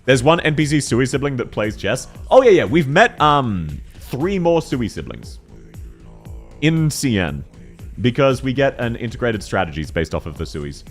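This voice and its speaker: A young man with a deep voice chats through a game stream, answering viewers in an excitable, slangy way and laughing often. His pitch swings widely from line to line. Faint music plays underneath. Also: moderate pace. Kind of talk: casual live-stream chat, answering viewer questions.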